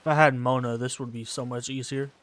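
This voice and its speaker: A man's voice: medium pitch, steady tone, fairly quick, fairly bright tone. A man giving casual, slangy let's play commentary, muttering his reactions to himself as he goes. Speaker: gamer commentating his own playthrough.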